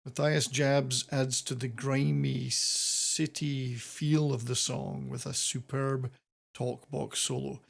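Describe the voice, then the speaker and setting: A man with a creaky, gravel-edged voice at a middling pitch presents a music countdown. His delivery is semi-scripted commentary, wordy and playfully florid, in the manner of a rock channel host. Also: little pitch movement, takes it slowly.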